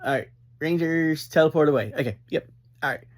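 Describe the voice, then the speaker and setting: A man hosting a casual, rambling review, upbeat and emphatic, who speaks haltingly and gets easily sidetracked. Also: a little high. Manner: upbeat, energetic. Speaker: podcast reviewer and host.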